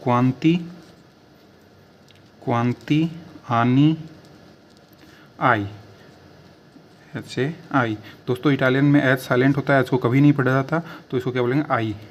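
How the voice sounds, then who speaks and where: A man teaching a language lesson, repeating each phrase word by word with drawn-out pauses. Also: mid-range voice, lo-fi sound, a little hiss or hum. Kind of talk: slow spoken language lesson.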